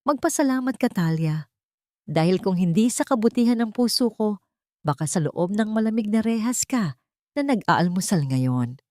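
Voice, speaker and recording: A woman's voice: mid-range voice, lively intonation, dark tone, dry recording, pitched above the performer's own voice, close to an everyday voice. Wealthy elderly matriarch, woman performer, audio drama. Cruel, commanding, controlled threat; dry sound. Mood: cold, gloating. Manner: commanding, measured, menacing.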